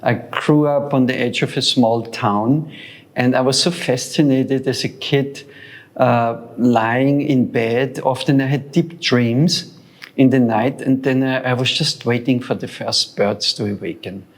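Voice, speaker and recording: Man in his sixties, from Austria, reflective, hesitant, restarts often, mid pitch. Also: steady tone, a little slow. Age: 60s. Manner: thoughtful, hesitant, many fillers and restarts. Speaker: guest, founder of a sound center. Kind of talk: reflective interview talk.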